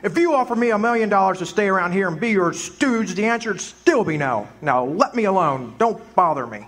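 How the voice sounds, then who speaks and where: A man's voice: sits high, animated, brisk. A man playing an earnest, frustrated young small-town husband in an amateur stage radio drama, speaking emphatically and stammering when upset. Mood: indignant.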